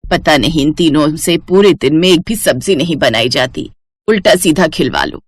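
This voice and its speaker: A woman's voice: sits low, expressive, normal speed, breathy edge, dry recording, studio quality, pitched below the performer's own voice. A woman voicing a stern, grumbling old mother-in-law in a scolding manner for a cartoon moral story. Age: older. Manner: scolding, complaining.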